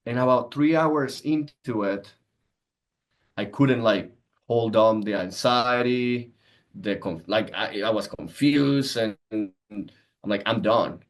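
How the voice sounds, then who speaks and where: A man at a medium pitch. He talks conversationally as an interview guest, mixing explanation with personal storytelling. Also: slow. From Venezuela. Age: 30s. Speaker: podcast guest, entrepreneur and coach.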